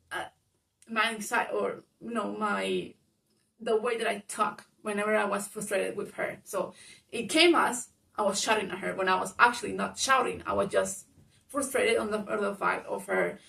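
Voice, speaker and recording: A woman whose first language is Spanish talks through a personal story, with a Spanish-speaking accent and a loud voice. Her speech runs on with fillers and restarts, and a gravelly fry creeps in. She sits a little back from the microphone. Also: mid-range voice, recorded from a distance. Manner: rambling, lots of fillers, grows loud when reenacting arguments. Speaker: vlogger.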